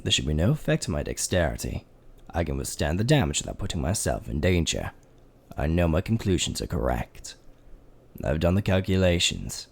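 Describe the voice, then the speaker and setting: A methodical skeleton scientist, as a man voices him in a fan dub, recites his reasoning in a deep, gravelly voice. His delivery is measured and steady, and his pitch lifts and falls as he reassures himself. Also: full character voice. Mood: reassuring.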